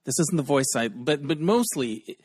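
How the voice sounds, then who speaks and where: A man's voice: a little high, expressive, moderate pace, a little mellow, slightly rough. A man hosting a podcast, interviewing a guest in a friendly, encouraging way. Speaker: podcast host. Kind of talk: casual interview.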